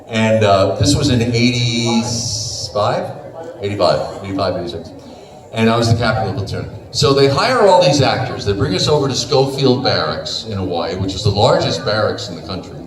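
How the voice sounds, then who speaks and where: Panel guest, man in his sixties, lively pitch, roomy hall sound from a distance, noisy. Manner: animated storytelling with laughs and acted-out quotes. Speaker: convention panel guest, voice actor. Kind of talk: casual panel conversation. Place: convention panel room.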